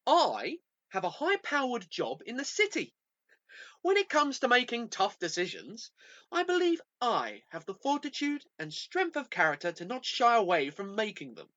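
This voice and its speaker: Woman acting high, swooping, arrogant city professional. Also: wildly swooping pitch, normal speed, fairly bright tone, highs cut off. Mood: sarcastic, smug. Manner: pompous, scornful. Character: arrogant, sarcastic city professional dismissing the others. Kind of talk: amateur radio play acting.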